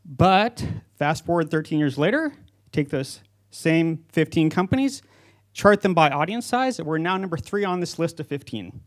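Higher-voiced man giving a conference talk. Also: expressive, normal speed. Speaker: company founder giving a talk. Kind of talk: prepared conference speech to an audience. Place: stage in an auditorium with seated audience. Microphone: handheld microphone.

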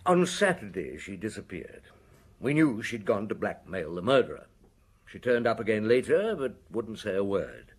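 A man's voice: lowish voice, big pitch swings, normal speed, breathy edge. An actor in a radio drama playing a shrewd, weary, stern police chief, blunt and scornful as he interrogates. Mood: measured, revealing. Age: middle-aged.

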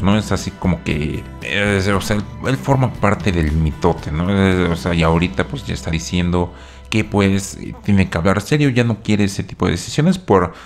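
A man's voice: deep voice, darkish tone. A man hosting a casual video commentary on a reality show, rambling with many fillers and asides.

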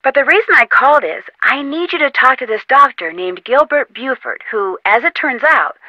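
Plucky young female sleuth, adventure game dialogue, fairly high pitch, dry close sound, persuasive and encouraging. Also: pushed and bright, no echo, rough recording. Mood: confiding, serious. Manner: encouraging, insistent, conversational.

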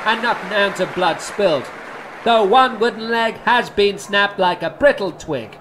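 Comic audio drama: grandiose old-world sports announcer, very animated, with clear noise of a match behind. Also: male voice, sits high. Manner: theatrical, grandiose. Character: excitable old-fashioned male fantasy match announcer.

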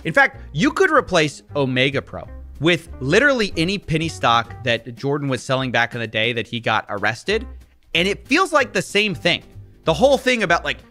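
Man, fairly high animated voice, emphatic, incredulous presenter, close mic, faint music. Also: moderate pace. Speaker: video presenter investigating scams. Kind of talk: scripted investigative commentary. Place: small indoor studio. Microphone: close desk microphone.

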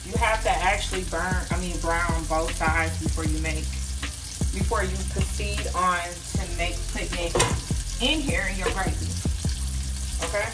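A woman's voice: fairly low pitch, steady tone, measured pace, very noisy. Chatty young woman cooking at home. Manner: frank, chatty, slangy, addresses viewers as girl. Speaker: cooking vlogger. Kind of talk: casual cook-along talk to viewers. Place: home kitchen, indoors. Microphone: phone camera in kitchen.

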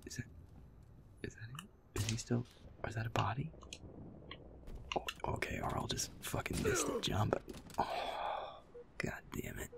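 A man playing a sweet, playful young werewolf boyfriend in an intimate audio roleplay, casual and affectionate, with a husky, breathy, gravelly voice often near a whisper. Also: medium pitch, wildly swooping pitch, a little slow, long pauses, full character voice. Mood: focused, frustrated. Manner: casual, swearing, chuckling, affectionate murmuring.

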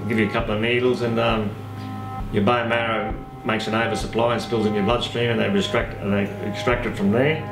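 An older man talking casually in an interview, halting and often repeating his words. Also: on the low side, reverberant, low-quality recording. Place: indoor room, plain wall.

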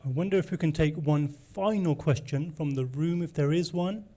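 A man's voice: fairly high pitch, normal speed, dark tone. A young man moderating a conference session, politely handing over to questions from a handheld microphone. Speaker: host, moderator. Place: conference room with audience.

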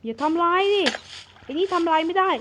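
High-voiced playful cartoon narrator woman. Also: a little fast, slight background noise. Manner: lively, switches between characters' lines.

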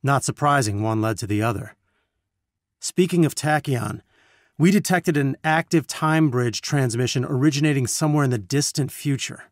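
Earnest, serious scientist leader played by an actor. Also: male voice, medium pitch, normal speed, no echo, clean studio sound, close to an everyday voice. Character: weary, earnest male scientist resistance leader. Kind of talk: video game voice acting.

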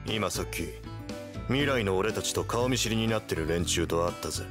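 A man voicing a cool, tough young delinquent in an anime game, terse, blunt and deadpan. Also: on the low side, normal speed, music in the background, close to an everyday voice. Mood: cool, curt.

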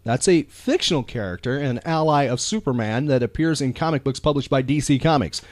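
A male podcast host talking casually and earnestly, with a lively, swinging pitch. He halts and repeats words as he works through his thoughts. The recording is close and dry. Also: fairly quick, no echo. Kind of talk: casual talk show monologue.

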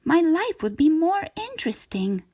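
A woman voicing a restless cartoon shape from a children's storybook, with emphatic, drawn-out words. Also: very high voice, very animated, warm tone, pitched above the performer's own voice. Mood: wishful. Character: restless, dissatisfied cartoon shape, eager for more.

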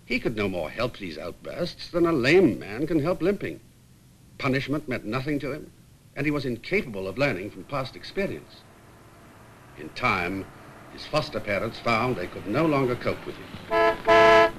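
Casting: grave, articulate British male nurse narrator, mid-pitched, emphatic, 1950s film with faint noise. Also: wildly swooping pitch, normal speed, fairly bright tone, poor audio. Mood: grave, explanatory. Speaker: hospital staff member recounting a case history. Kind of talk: scripted case-history narration in a 1950s film.

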